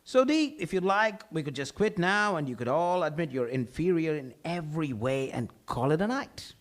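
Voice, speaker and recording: A man's voice: a little high, lively intonation, moderate pace, close to an everyday voice. A man playing a smug, condescending, hot-tempered bowler in a live comedy table read.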